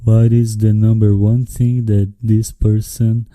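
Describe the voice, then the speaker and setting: A male podcast host speaks in a low, very dark, mellow voice with little rise and fall. His English is friendly and measured, with small non-native slips. The recording is dry and close. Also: flat delivery, no echo, rough recording. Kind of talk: casual interview hosting.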